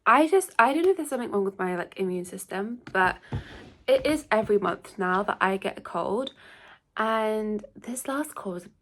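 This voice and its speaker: A woman's voice: medium pitch, animated, moderate pace, a bit bright. A young woman, congested from a cold, chatting casually and rambling through a get-ready-with-me vlog at home. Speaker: beauty vlogger. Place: at home, shared house.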